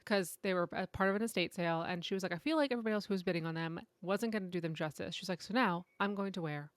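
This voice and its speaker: A woman's voice: lowish voice, racing, no echo. A woman co-hosting a podcast in a casual, conversational and giggly way. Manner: informal and conversational, often giggly. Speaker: podcast co-host. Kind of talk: casual chat.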